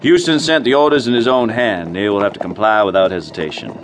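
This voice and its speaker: Actor as a deep-voiced, curt frontier colonel. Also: male voice, fast, background noise, natural voice. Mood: stern, resolute. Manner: curt, commanding. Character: gruff, decisive male frontier colonel. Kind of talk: radio drama acting.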